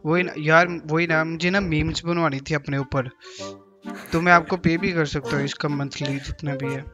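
A man's voice: fairly high pitch, steady tone, moderate pace. A man in his twenties from Pakistan with a Pakistani accent, a streamer chatting casually on a prank phone call. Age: 20s. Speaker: gaming streamer making prank calls. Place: indoor gaming room. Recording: muffled sound, loud music behind.

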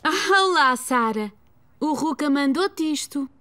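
A woman's voice: high voice, animated, slow pace, fairly bright tone, slight background noise, full character voice. A woman playing a kindly, patient young mother in a children's cartoon, with a European Portuguese accent. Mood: friendly.

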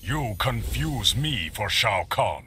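A man's voice: fairly low pitch, sing-song, slow. A man playing a proud, stern, imperious warlord in a fantasy video game, speaking formally. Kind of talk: video game character acting. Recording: game ambience noise behind the voice.

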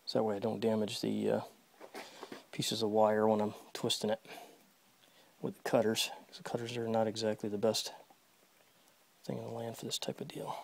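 A man's voice: lowish voice, flat delivery, normal speed, gravelly, pauses often. A man giving casual running commentary while he fixes an electric fence in a horse barn, talking to himself and his horses as he works, recorded close on a handheld phone. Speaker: video creator demonstrating fence work. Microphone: phone camera held close, first-person view.

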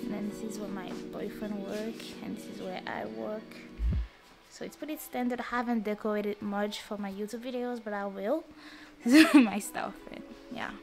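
A woman's voice: mid-range voice, moderate pace, gravelly. A young woman from Montreal whose first language is French, casually narrating a tour of her apartment with many fillers and loose grammar. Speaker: vlogger giving a home tour. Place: small apartment rooms indoors. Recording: loud background music.

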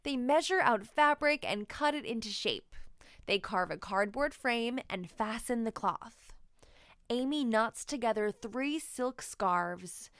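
A woman reading a children's story aloud expressively, with deliberate, emphatic phrasing. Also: fairly high pitch, animated, fairly bright tone, clear, resonant tone, dry recording. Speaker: children's book narrator.

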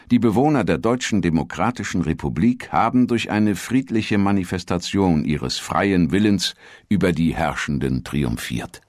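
Low-pitched male documentary narrator. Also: no echo, clean studio sound.

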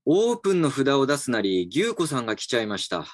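A man's voice: medium pitch, very animated, fast, no room sound, clean studio sound. An actor playing a reflective, slightly dejected male employee in a voice drama, polite and musing. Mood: wistful, narrating. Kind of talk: voice drama acting with inner narration. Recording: music behind the voice.

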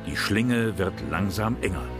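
A man with a very deep voice narrates a documentary in a calm, measured, serious manner. His delivery is scripted and steady. Clear music runs beneath his words.

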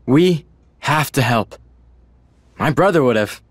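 An actor playing an earnest, slightly awkward teenage boy hero in an animated game, youthful and sincere. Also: medium pitch, slow pace, breathy edge, no echo. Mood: determined. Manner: hesitant, sincere. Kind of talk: animated video game acting.

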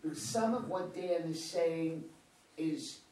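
A man with a slightly high voice speaks plainly at a script reading. He is heard from a distance in a very roomy space, with faint noise. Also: slow pace, mellow. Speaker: reader of stage directions.

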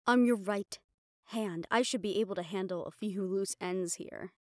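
A woman performs a mafia boss's right-hand assistant in an intimate audio roleplay. She is proud and exasperated, hard on herself, speaking with pauses at a middle pitch. The sound is close and dry. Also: no echo, natural voice. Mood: self-blaming, frustrated. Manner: sighing, exasperated, self-reproachful, pausing. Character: mafia boss's proud, frustrated female human personal assistant.

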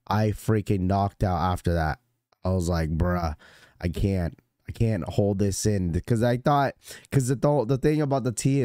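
Hoarse young man greeting stream viewers. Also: medium pitch, dry recording, studio quality. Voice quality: slightly cracky, congested voice. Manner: laid-back slangy shout-outs with fillers. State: hoarse, recovering from illness. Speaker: livestream host. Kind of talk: casual livestream chat greeting viewers.

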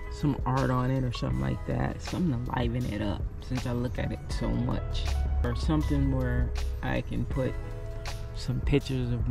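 A relaxed man talks through his day in a casual, offhand way, his voice a little gravelly. He is recorded close up on a camera mic inside a small vehicle, with music playing underneath. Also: medium pitch. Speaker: vlogger. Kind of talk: casual vlog narration. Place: inside a minivan.

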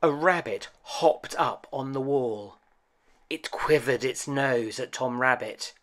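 A man narrating a children's storybook as host, with gentle, deliberate, expressive phrasing. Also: medium pitch.

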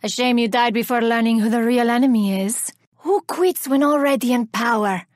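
A woman's voice: fairly high pitch, normal speed, dry recording, studio quality, natural voice. An actress voicing a menacing, scornful female reaper herald for a video game, haughty, theatrical and bent on vengeance. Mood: cold scorn. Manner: cold, haughty, theatrical delivery. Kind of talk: video game character voice lines.

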